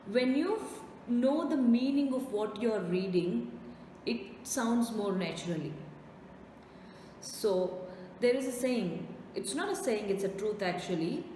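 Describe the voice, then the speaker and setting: A woman's voice: fairly high pitch, expressive, unhurried, mellow. Woman trainer in a very echoey room. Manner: didactic, emphatic repetition with rhetorical questions. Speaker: test-preparation trainer. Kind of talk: instructional lesson to learners. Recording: very echoey reverberant room, faint noise.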